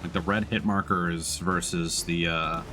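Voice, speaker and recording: A man's voice: low voice, fairly even intonation, measured pace, music in the background. A man giving casual live gameplay commentary, musing and thinking aloud in a hesitant way. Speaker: streamer playing a video game. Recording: stream with game noise behind. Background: video game battle sounds.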